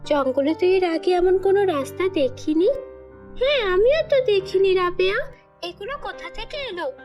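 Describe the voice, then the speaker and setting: A woman doing a high-pitched innocent village girl. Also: normal speed, dull audio, faint music behind. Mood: surprised, curious. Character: innocent, curious young village girls. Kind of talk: cartoon fairy-tale character voice.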